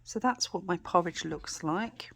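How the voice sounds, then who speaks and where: A British woman vlogging casually to camera, chatty and rambling, her voice a bit croaky. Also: lowish voice, a little slow, a bit bright. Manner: chatty, rambling with many fillers and restarts.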